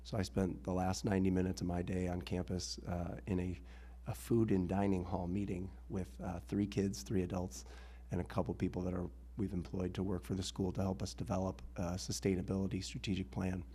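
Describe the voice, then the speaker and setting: Rough-voiced man with a low, flat delivery. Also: fairly quick, few pauses. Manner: earnest, steady explanation with filler ums. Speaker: assistant head of school speaking at a public hearing. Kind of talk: public meeting testimony. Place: town board hearing room. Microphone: meeting microphone.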